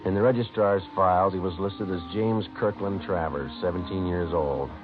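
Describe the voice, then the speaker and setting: A man playing a terse, methodical police sergeant in a 1950s radio drama, deadpan and clipped in manner. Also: sits low, normal speed, lightly acted. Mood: flat, factual. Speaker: actor playing the narrator and lead detective. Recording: muffled old radio recording, music behind.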